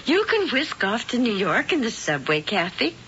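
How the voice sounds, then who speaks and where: A syrupy, scheming old aunt. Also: female voice, on the high side, sing-song, moderate pace, fairly bright tone, a little hiss or hum. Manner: syrupy, doting. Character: sugary older aunt with a hidden agenda. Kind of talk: 1970s radio drama acting. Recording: dull old radio broadcast.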